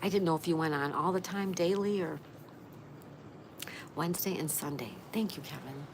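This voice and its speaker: A woman's voice: low voice, moderate pace, slight background noise. A woman in her sixties hosting a casual livestream, chattily reading out comments and greeting viewers. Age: 60s. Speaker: livestream host.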